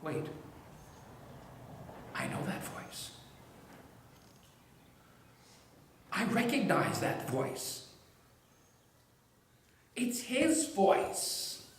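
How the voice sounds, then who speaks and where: A man playing an ancient woman disciple in a church monologue, emotional and halting, his voice breaking with tears. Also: very high-pitched, huge pitch swings, a little hiss or hum, full character voice. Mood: awestruck. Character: grieving then overjoyed woman disciple, ancient. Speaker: church drama performer. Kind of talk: dramatic first-person monologue in church. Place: church sanctuary. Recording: echoey large church hall.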